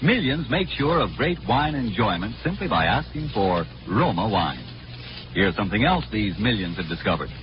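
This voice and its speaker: A man's voice: lowish voice, big pitch swings, normal speed. A man reading advertising copy as a 1940s radio announcer, polished, salesmanlike and emphatic. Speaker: commercial announcer. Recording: dull 1940s radio recording with music.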